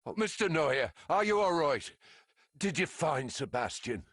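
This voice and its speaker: A man playing a polite, fretful gentleman in a video game, courteous and hesitant in his dramatic delivery. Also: a little high, big pitch swings, unhurried, dry recording, studio quality, exaggerated voice. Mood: anxious, pleading. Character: polite, anxious gentleman worried for his missing companion. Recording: loud noise behind the voice.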